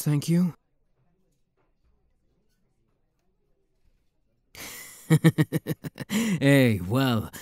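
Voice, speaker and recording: A man's voice: mid-range voice, a little mellow, dry recording, studio quality. A man playing a playful, flirty demon prince with a teasing, theatrical manner in an intimate ASMR roleplay. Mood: amused, disbelieving.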